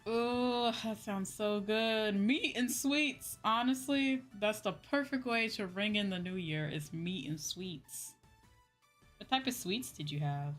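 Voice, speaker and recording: A woman chats casually to her livestream viewers at an unhurried, slow pace. Her pitch sits in a middle range for a woman, and she stretches and repeats her exclamations. Faint music plays behind her voice. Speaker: livestream host.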